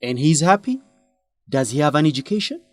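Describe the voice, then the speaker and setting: An earnest young guy in a radio play, fairly high voice that swings up and down a lot, lively and scolding, recorded close and dry with no room sound. he presses his friend hard and sounds sure of his own principles. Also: fairly quick, no room sound. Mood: pleading, scolding. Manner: animated, urging, scolding. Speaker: friend and fellow researcher. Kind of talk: radio drama acting.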